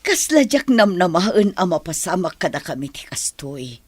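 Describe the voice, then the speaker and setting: Husky, expressive gentle mother played by a woman. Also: lowish voice, moderate pace, no room sound. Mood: moved, relieved. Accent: Ilocano. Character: gentle, emotional older mother of a grown son. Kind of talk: dramatized Ilocano radio-style story acting.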